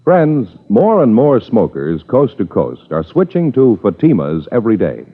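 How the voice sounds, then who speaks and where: Male commercial announcer, hugely animated intonation, lowish voice, emphatic 1950s radio pitch, muffled sound with long reverb. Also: unhurried, husky. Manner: polished, emphatic sales delivery. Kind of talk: 1950s radio cigarette advertisement.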